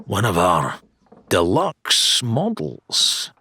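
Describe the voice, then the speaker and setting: A man's voice: deep voice, wildly swooping pitch, very slow, sharp tone, slightly rough, no echo, full character voice. A man voicing a blustering, theatrical pirate weapons dealer in an audiobook, drawing out his salesman's patter with grand flourishes. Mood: sly, salesmanlike. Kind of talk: dramatised audiobook character dialogue.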